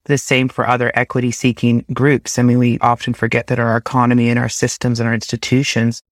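A man's voice: medium pitch, completely flat delivery, a little fast, dry recording, clean studio sound. A man speaking earnestly and steadily in a documentary interview about his community.

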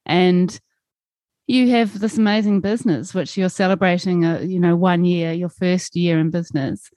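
A woman's voice: on the low side, steady tone, moderate pace, dark tone, no echo. A woman interviewer asking friendly questions and affirming her guest encouragingly. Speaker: interviewer, host.

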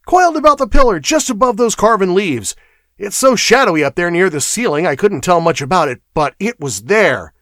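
A man voicing an excitable, insistent male guardsman in a fantasy audiobook. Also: high-pitched, a little fast, no room sound, clean studio sound, voice pushed up. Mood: insistent alarm. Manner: agitated, insistent.

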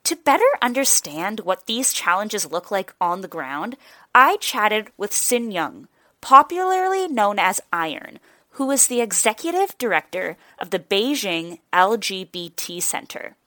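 A young adult woman narrating a reported news magazine episode in a clear, even newsreader manner. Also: mid-range voice, measured pace, dry recording, clean studio sound. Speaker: reporter and host. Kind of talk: scripted narration.

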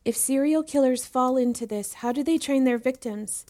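A woman acts as a young female student in a podcast drama. Her voice is fairly high, and she speaks earnestly and inquisitively, eager to learn and deferential to whoever is teaching her. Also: normal speed, mellow, lightly acted. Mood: curious, questioning. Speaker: voice actor in a fiction podcast. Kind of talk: acted audio drama dialogue.

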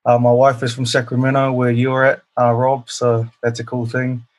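A man in his thirties from New Zealand, a guest on an interview, answering casually and humbly with many pauses and fillers. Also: mid-range voice, monotone. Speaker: guest, professional rugby player.

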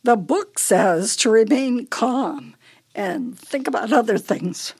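Actress as a high-voiced, forgetful elderly wife. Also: female voice, expressive, slow pace, a little mellow, husky, full character voice. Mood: chiding, muddled. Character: forgetful elderly wife, playful, fretful, haunted by loss. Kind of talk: radio play acting.

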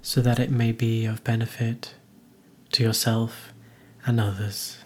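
A man narrating a guided meditation in a soothing, gentle, hushed manner with soft, breathy phrase endings. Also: mid-range voice, level tone, unhurried, a little hiss or hum.

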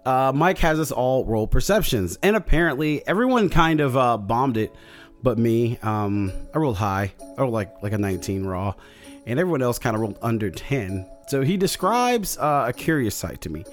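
A man with a slightly hoarse voice casually recapping his tabletop campaign as a solo podcast host, rambling with many fillers and restarts. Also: mid-range voice, big pitch swings, normal speed, faint music behind.

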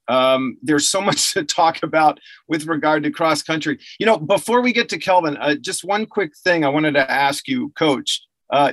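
A man's voice: fairly high pitch, a bit bright, no echo. A man hosting an interview, chatty and enthusiastic, with many fillers and restarts. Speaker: podcast host interviewing athlete and coach. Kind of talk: casual interview.

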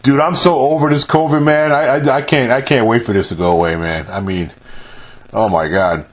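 A man hosting a radio interview, relaxed and agreeable, chatting easily with his guest. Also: medium pitch, moderate pace. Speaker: host. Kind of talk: casual interview chat.

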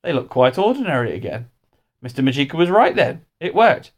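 A man voicing excitable, outspoken schoolchildren in a storybook, eager and exclamatory. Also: a little high, fairly quick. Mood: indignant, surprised.

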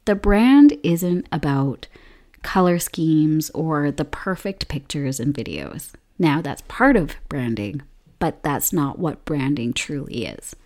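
A young woman hosting a solo podcast, her voice hoarse from illness, upbeat and chatty with frequent laughs. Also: low-pitched, a little mellow. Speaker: podcast host.

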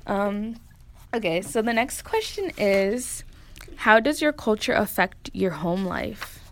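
A woman from Connecticut hosting a casual group podcast, talking in a chatty way full of "like" and animated little stories. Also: medium pitch, slow, slight background noise. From Connecticut, USA. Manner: chatty, lots of "like", animated storytelling. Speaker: podcast host.

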